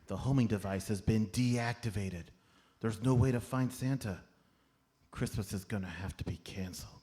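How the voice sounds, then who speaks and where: A man's voice: fairly low pitch, moderate pace, dark tone, natural voice. A man playing an anxious, earnest Christmas elf in a live comedy radio play. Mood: worried. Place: live on a comedy club stage. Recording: noisy live stage recording.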